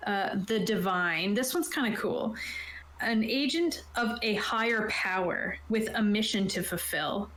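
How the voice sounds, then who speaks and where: A woman with a mid-range voice talks through the rules of a tabletop game. She moves between reading aloud and informal, conversational explanation. The room around her adds a clear echo. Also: medium pitch, a bit bright. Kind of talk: casual explanation, partly reading from a rulebook. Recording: roomy, echoey sound.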